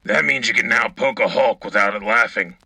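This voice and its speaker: A gruff military radio co-host, acted by a man, with a lowish, very hard-edged voice. He is blunt and sardonic, husky and rough, recorded close and dry. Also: brisk, sharp tone, no echo, heavily acted. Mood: wry. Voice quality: gravelly, husky. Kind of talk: in-character military radio commentary.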